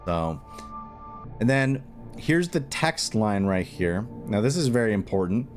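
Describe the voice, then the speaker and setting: A man with a fairly low voice gives an informal software walkthrough. He works through it step by step, often stopping to think out loud and pick up his thread again. Music plays behind his voice. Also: lively intonation. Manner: halting, thinking aloud. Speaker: tutorial presenter. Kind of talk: unscripted step-by-step screen tutorial.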